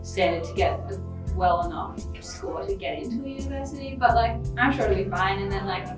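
A woman's voice: mid-range voice, animated, brisk, roomy sound, recorded from a distance, music in the background. Giggly unsure teenage girl. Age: teens. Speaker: interviewee. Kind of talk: casual interview.

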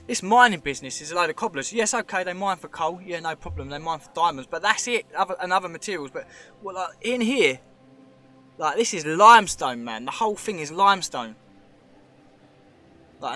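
A man's voice: a little high, sharp tone. Chatty man filming in a cave. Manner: chatty, rambling, speculative, points things out. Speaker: vlogger exploring a show cave. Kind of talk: casual handheld commentary. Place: underground cave tunnel.

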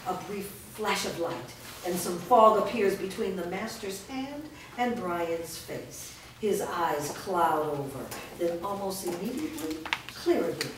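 A woman narrating stage directions in a staged script reading, reading them clearly and evenly. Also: on the low side, expressive, measured pace. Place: large indoor studio room. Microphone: far camera. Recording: echoey room from far off, noisy background.

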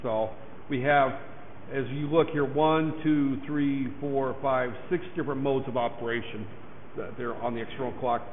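A hoarse man lecturing a class, rambling and repeating "right there" and "that there". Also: mid-range voice, fairly even intonation, moderate pace. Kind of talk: recorded classroom lecture over slides. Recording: echoey lecture hall, tinny lo-fi mic with faint noise.

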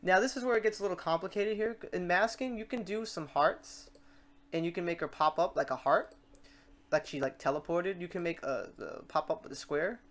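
A tutorial presenter talking informally through software steps and thinking aloud while working. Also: medium pitch, brisk. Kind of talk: casual screen-recorded software tutorial.

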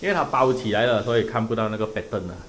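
A man's voice: lowish voice, very fast, long reverb tail, a little hiss or hum. A man from Malaysia walking through his house under renovation, chatting casually as he points things out on a handheld camera. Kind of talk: casual walkthrough narration. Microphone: handheld action camera.

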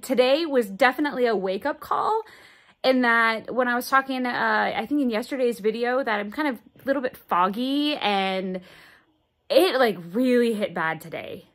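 A woman in her thirties talking into a phone held at arm's length in her bedroom, pausing often and searching for words. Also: a little high, lively intonation. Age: 30s. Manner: halting, searching for words, many fillers. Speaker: vlogger. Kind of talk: casual daily video diary. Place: bedroom indoors, moving boxes behind.

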